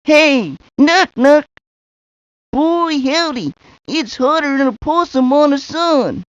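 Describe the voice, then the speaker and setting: A woman's voice: high voice, animated, slow, no echo. A woman voicing a folksy, drawling country boy with a Southern US accent for a cartoon video game. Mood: folksy, eager. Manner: drawling, folksy backwoods talk. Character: folksy country boy who loves fishing and eating. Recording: dull lo-fi 1990s game audio.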